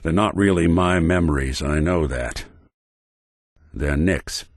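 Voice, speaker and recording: An actor playing a world-weary American robot detective in a video game, with a wry, hesitant, hard-boiled noir delivery. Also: male voice, rumbling low, slow, dry recording, studio quality, exaggerated voice. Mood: grim, pained. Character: world-weary synth detective, a machine with an old-time cop's personality.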